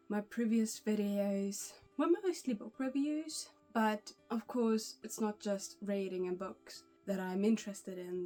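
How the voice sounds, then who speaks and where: A young woman, German by first language, chats in English to her camera in a loose, rambling way, often restarting her sentences and laughing softly. Her pitch sits in the middle of the range. Gentle music plays faintly underneath. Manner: chatty, with frequent restarts and small laughs. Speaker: language-learning vlogger. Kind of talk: casual vlog talk to camera.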